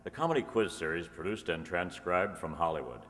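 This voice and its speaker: A man playing a polished, upbeat radio announcer, pitching products and presenting the show in formal 1940s style. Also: fairly quick, a bit bright. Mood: grand, promotional. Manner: formal, salesy. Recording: reverberant hall recording.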